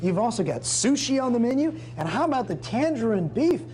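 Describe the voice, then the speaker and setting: A man's voice: very high-pitched, big pitch swings, a little fast, darkish tone. A man working as a television news reporter, presenting on location in a punchy, demonstrative, showman style.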